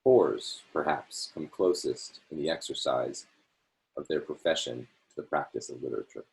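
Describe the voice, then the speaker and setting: Man, very deep voice, reading an essay aloud, measured phrasing, video call. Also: gravelly, poor audio. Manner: measured, phrase-by-phrase delivery with small self-corrections. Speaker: reader hosting a reading series.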